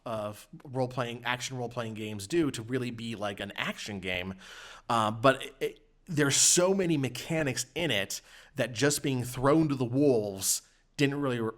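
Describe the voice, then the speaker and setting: A man with an average-pitched voice hosts a casual gaming discussion. He explains things in a loose, halting way, wandering through his thoughts as he goes. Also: a bit bright. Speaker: podcast host.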